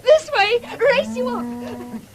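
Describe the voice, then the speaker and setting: Teasing farm girl, very high swoopy voice, vintage radio play. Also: big pitch swings, slow pace, exaggerated voice. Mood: playful. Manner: coaxing, playful. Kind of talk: radio drama acting. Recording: faintly hissy 1970s radio recording with clicks.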